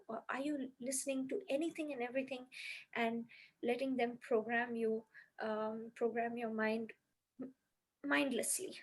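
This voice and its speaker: A woman's voice: fairly high pitch, heavy background noise. A woman on a podcast earnestly explaining her music healing method step by step, with many "you know"s.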